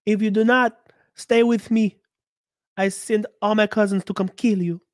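A man in his thirties hosting a casual live stream from a small home room, close on a boom mic, joking crudely and sounding congested from a cold. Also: fairly even intonation, moderate pace, no echo. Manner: crude, joking, laughs often. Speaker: livestream host. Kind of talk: casual live-stream chat reading donations. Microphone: studio mic on boom arm, close.

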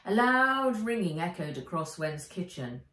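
Slow, low-pitched woman reading a story. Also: sing-song, fairly bright tone. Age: middle-aged. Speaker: author reading own book. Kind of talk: expressive children's storybook narration. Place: living room or sunroom, indoors. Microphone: camera or phone a few feet away. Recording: roomy, distant home recording.

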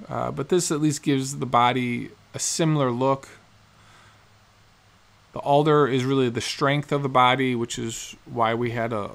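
Guy in his 40s talking thru a guitar build in his workshop, kinda gravelly, just explains each step. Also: medium pitch, fairly bright tone. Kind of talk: workshop how-to narration. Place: cluttered workshop indoors.

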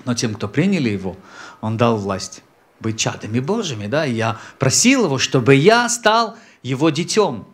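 A man in his thirties from Central Asia, a native Tajik speaker, giving a personal testimony to a congregation on a church stage into a handheld microphone, unhurried, with hesitations and throat clearing. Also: medium pitch, big pitch swings. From Samarkand, Central Asia. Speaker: messianic rabbi, guest speaker.

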